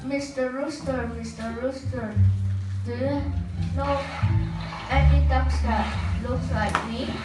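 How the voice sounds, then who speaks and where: Woman playing a slow, high-voiced lonely duckling, echoey room. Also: fairly even intonation. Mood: pleading. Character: lonely, wistful young female duckling. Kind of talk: children's puppet show acting. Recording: very echoey, distant mic, music behind.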